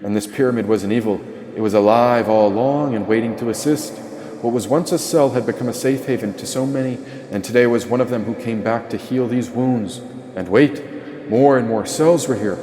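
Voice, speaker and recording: A man's voice: medium pitch, fairly quick, mellow. A man reading an audiobook as a dramatic first-person story narration. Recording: echoey, long reverb tail.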